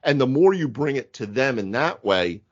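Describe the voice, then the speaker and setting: A man's voice: medium pitch, lively intonation, moderate pace, no echo, lo-fi sound. A man chatting casually about tabletop games as a podcast host, retelling moments and acting out dialogue. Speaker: podcast host and game master. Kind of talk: casual tabletop gaming chat.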